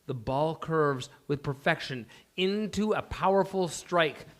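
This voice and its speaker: A man's voice: on the high side, a little slow. A man reading scripted stage directions as the narrator of a live table read.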